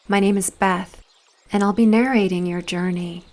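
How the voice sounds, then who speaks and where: A woman narrating a guided visualization in a soft, soothing, deliberate voice with breathy phrase endings and long pauses. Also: medium pitch, steady tone.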